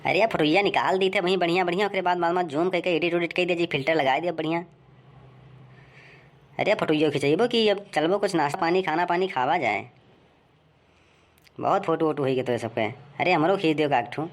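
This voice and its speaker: A man's voice: a little high, fairly quick, lightly acted. A man playing a grumbling village man in a comic cartoon, conversational and rustic, with an Awadhi accent. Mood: impatient.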